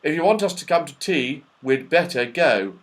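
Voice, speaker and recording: A man's voice: a little high, lively intonation, moderate pace, natural voice. A man voicing an eager, bold young boy who calls out urgently in a children's storybook. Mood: urging.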